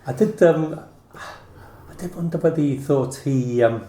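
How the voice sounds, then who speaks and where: A man's voice: on the high side, unhurried, dark tone. A man interviewing in a casual, tentative and hesitant way. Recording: roomy, echoing sound.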